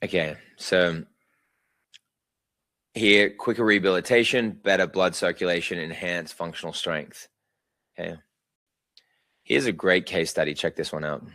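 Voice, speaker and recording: A man's voice: sits low, steady tone, unhurried, pauses often, sharp tone, dry recording. A man hosts a live online presentation. He talks through his slides in a loose, chatty way with plenty of fillers. The sound is dry and close. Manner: casual, chatty, many fillers. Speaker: webinar host.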